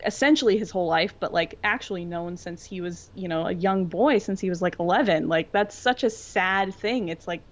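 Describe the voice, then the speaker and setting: A woman with a fairly low voice talks casually as a podcast co-host. She is earnest and thoughtful, reasoning aloud in informal language. The recording is a little dull and lo-fi. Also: normal speed, few pauses. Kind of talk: casual discussion of fiction. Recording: dull lo-fi audio.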